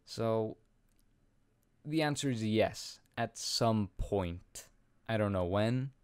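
A man's voice: lowish voice, expressive, slow, darkish tone, clear, resonant tone, no room sound. A young man of about eighteen from Iceland, answering viewer questions in a casual, rambling way with plenty of ums and self-deprecating laughs, recorded at home on a studio mic. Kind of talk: casual Q&A chat. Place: small home room, indoors. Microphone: studio condenser mic with pop filter, wearing headphones.

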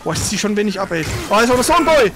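A gamer giving casual, colloquial commentary on their own play, exclaiming readily and swearing mildly, with an excitable manner. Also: medium pitch, very fast, noisy background.

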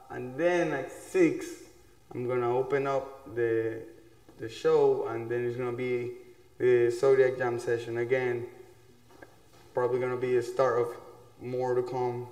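A young man from Ecuador, a musician, chatting in a relaxed, loose way in a small dark room. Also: mid-range voice. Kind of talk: casual interview talk. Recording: echoey room with long reverb.

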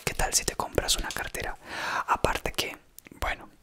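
A man whispering an ASMR roleplay as a doting, gullible boyfriend. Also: normal speed.

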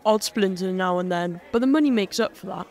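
An actor playing a friendly, easygoing young wedding guest. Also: male voice, sits high, brisk. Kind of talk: audio drama acting.

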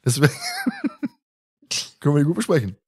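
A man's voice: sits high, huge pitch swings, measured pace, slightly rough. Jokey guy hosting a fan podcast, voice goes up and down a lot, casual chat. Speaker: podcast host.

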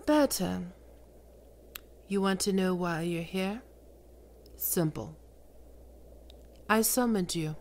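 A woman voicing a seductive, imperious female demon queen, speaking slowly in sultry whispers. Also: medium pitch. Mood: commanding. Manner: slow, sultry, domineering, often whispering. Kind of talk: ASMR roleplay audio drama.

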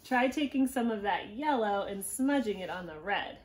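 A woman in her thirties reading a picture book aloud to young children at home, gently encouraging them and prompting with questions. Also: medium pitch, lively intonation, moderate pace. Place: small living room at home, indoors.

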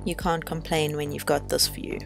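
A woman's voice: low voice, little pitch movement, normal speed, vocal fry. A young woman narrating a sailing vlog voice-over in an easygoing, explaining way. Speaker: sailing vlogger. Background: music.

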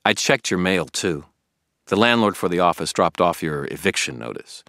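A man's voice: low voice, expressive, normal speed, gravelly, pushed and bright, no echo, studio quality, voice pushed up, full character voice. A man voicing an earnest, worried young male friend in an audiobook, pushy, blunt and informal. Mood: exasperated, heated. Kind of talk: acted audiobook dialogue.

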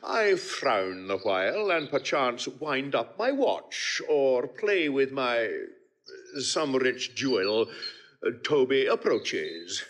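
A man's voice: mid-range voice, very animated, takes it slowly, fairly bright tone, heavily acted. A man playing a pompous, self-besotted household steward in a classical Shakespeare audio drama, lingering theatrically over his words. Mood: haughty, imperious.

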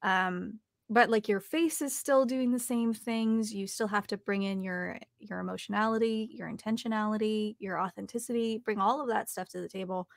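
Young woman, gravelly fry, dry close sound, casual interview guest, reflective. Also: mid-range voice, steady tone, moderate pace, dry recording. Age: young adult. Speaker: interview guest, audiobook narrator and voice actor. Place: home studio, indoors.

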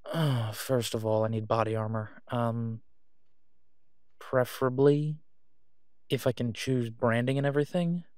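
A man playing an awkward, guarded man in a naturalistic audio roleplay, speaking hesitantly and low-key. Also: fairly even intonation, a little slow, long pauses, a little mellow, clean tone, dry recording, studio quality, natural voice. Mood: careful, halting. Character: awkward, guarded man discreetly seeking gear to protect someone.

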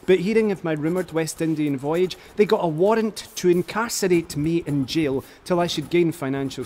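Ardent Scottish poet acted by a man, highish voice. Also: mellow, natural voice. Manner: eloquent, emphatic, heartfelt. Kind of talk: radio drama letter reading.

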